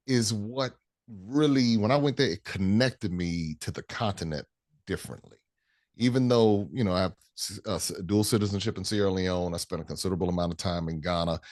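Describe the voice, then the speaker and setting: A man's voice: fairly low pitch, darkish tone, dry recording, clean studio sound. An American man in his forties, a travel guest speaking earnestly and emphatically in an interview. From United States. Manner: earnest, emphatic, repeats phrases for stress. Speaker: guest, travel tour organizer. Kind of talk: conversational interview.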